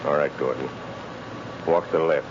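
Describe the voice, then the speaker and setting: Deep, solemn, mysterious crime fighter. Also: male voice, fairly even intonation. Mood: calm. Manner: deliberate, commanding, solemn. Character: mysterious commanding mind-reading crime fighter posing as guard. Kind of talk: 1930s radio drama acting. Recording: muffled, noisy old radio recording.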